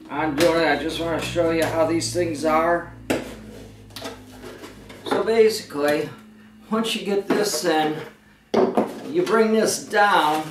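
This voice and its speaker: A middle-aged man with a slightly high voice talks through a product demonstration. He rambles along in a plain, folksy way, like an informal home video. The sound is roomy and echoey, picked up by a camera some distance from him. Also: very noisy. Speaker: waterfall materials seller and presenter. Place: living room indoors. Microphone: camera a few metres away.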